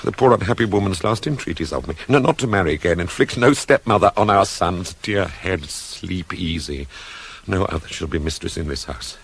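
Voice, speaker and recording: A man's voice: deep voice, vocal fry, husky, heavily acted. An actor playing a proud, widowed ancient Greek king in a radio play, declaiming tragic verse with grief and rage. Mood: hushed grief. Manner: declamatory, slow, heavily dramatic.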